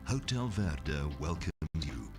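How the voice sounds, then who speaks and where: A man's voice: extremely low pitch, big pitch swings, takes it slowly, vocal fry. A man reading polished, salesy scripted narration for a hotel promotional video. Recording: promo voice-over over music.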